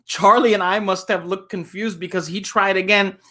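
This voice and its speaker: A man's voice: high voice, fairly even intonation, moderate pace, pushed and bright. A man reading a children's book aloud as a storyteller, playful and given to chuckling asides.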